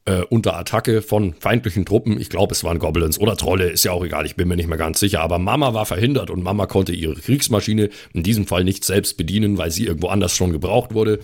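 A man's voice: low-pitched, fast, few pauses, a little mellow. A man on a podcast talking conversationally and improvising. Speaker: podcast cast member. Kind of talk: improvised in-character storytelling.

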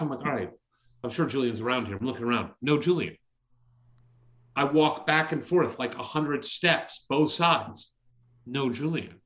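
A middle-aged man with a loud voice, hosting a podcast and telling an anecdote in casual chat, exclaiming and acting out the dialogue as he goes. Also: medium pitch. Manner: exclamatory, acts out dialogue in his stories. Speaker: podcast host.

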